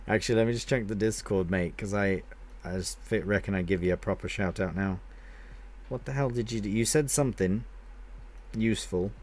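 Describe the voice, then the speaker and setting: A man's voice: fairly low pitch, normal speed. A man streaming a game live, chatting casually and rambling as he answers viewers with friendly banter.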